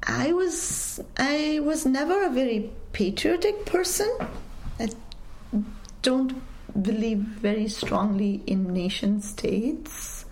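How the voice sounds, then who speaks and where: Gravelly, mellow-voiced woman, thoughtful. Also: on the high side, slow pace, a little hiss or hum, rough recording. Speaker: interviewee, community worker. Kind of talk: reflective interview answer.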